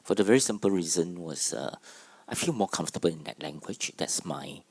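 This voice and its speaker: Man, Singaporean accent, Cantonese native, very low voice, hesitant with fillers, dry sound. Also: lively intonation, brisk, a little mellow, husky, no echo. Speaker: theatre artistic director. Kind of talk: conference panel talk. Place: conference room.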